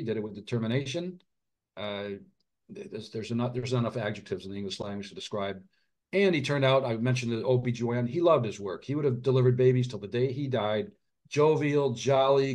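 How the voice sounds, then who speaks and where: A middle-aged man, an author and physician, speaking earnestly in an interview, often restarting his sentences and dropping quiet at heavy moments. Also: medium pitch. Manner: earnest, halting, frequent restarts and fillers. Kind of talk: reflective interview conversation.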